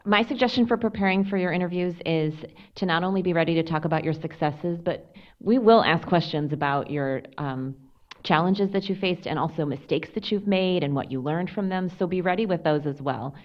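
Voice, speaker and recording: A woman panelist who interviews for a law firm, giving relaxed, wry advice on interview prep. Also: lowish voice, a little fast. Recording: dull lo-fi sound.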